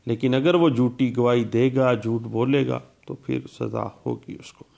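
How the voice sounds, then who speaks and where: A man's voice: mid-range voice, fairly even intonation, unhurried, creaky, a little mellow. A man giving a law lecture, explaining legal rules step by step and mixing in English legal terms. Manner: explanatory, repeats statute citations, Urdu legal terms with English words.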